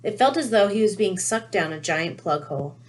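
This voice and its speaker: A woman's voice: medium pitch, expressive, brisk. A woman narrating a children's novel aloud as a read-aloud storyteller.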